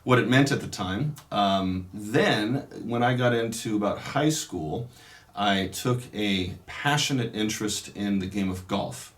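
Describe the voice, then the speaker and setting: Man, priest, very low voice, casual interview, thoughtful, pausing often. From California. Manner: reflective, unhurried, many pauses and restarts. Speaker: Dominican priest. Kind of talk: casual interview, telling his life story.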